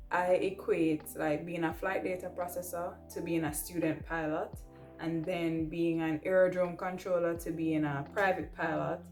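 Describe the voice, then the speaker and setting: A woman's voice: on the low side, a little mellow. A young adult woman, presenting casually to camera in a conversational, emphatic way, often checking in with the listener. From Jamaica. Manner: conversational, emphatic, ends points with "right?". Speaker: vlogger, air traffic control trainee and pilot. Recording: roomy echo, music underneath.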